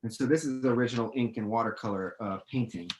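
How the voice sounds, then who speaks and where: A man's voice: medium pitch, fast, a little mellow. A middle-aged man chatting casually as an author answering questions, thinking aloud and often restarting his sentences. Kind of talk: casual chat, explaining to students.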